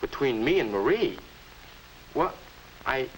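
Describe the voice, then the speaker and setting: A young man playing a puzzled, halting high-school boy in a 1950s instructional film. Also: sing-song, rough recording. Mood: bewildered. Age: young adult. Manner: hesitant, musing, halting. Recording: hissy 1950s educational film soundtrack.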